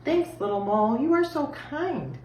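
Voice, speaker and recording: Woman voicing a tired little boy mouse, high-pitched. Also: lively intonation, moderate pace, warm tone, reverberant, distant mic, highs cut off, a little hiss or hum. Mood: grateful. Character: small tired, grateful male mouse.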